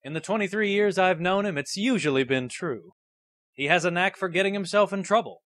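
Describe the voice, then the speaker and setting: A man's voice: high voice, brisk, fairly bright tone, dry recording, studio quality. A man acting a nervous, earnest young rookie defense lawyer in a game fandub, a little hesitant. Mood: wry, earnest.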